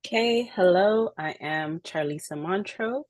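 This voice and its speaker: A woman's voice: on the low side, measured pace, no echo. A woman printmaker and teacher from Saint Lucia, introducing herself on an artist panel with hesitant, um-filled speech. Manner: hesitant, many ums.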